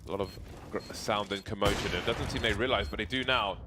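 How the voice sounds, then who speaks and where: Lower-voiced male play-by-play caster. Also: background noise. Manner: brisk play-calling, dry humor. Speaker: esports commentator. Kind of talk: play-by-play commentary.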